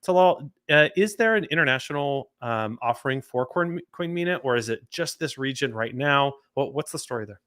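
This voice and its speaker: Bright, dry-voiced livestream host. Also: male voice, medium pitch, moderate pace, hardly any pauses, no echo. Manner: energetic, talkative, many fillers and repeats. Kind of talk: livestream interview hosting.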